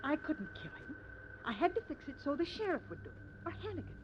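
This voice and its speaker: A woman's voice: sits high, huge pitch swings, brisk. A woman playing a deranged, murderous widow, eerily sweet and weary, in 1950s radio drama style. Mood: scheming, scornful. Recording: dull, noisy old 1950s radio recording.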